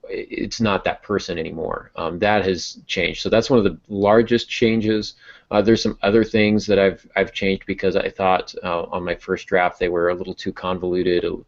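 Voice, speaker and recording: A man's voice: deep voice, little pitch movement, moderate pace, vocal fry. A man speaking casually on a podcast as a novelist, explaining and thinking aloud. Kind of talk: casual podcast discussion of writing. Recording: dull, lo-fi podcast audio.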